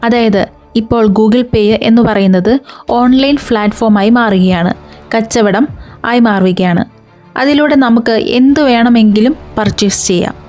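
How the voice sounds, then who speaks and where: A woman's voice: on the high side, moderate pace, a little mellow, soft background music. A woman hosting an explainer video, talking viewers through it step by step with rhetorical questions. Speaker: video channel host.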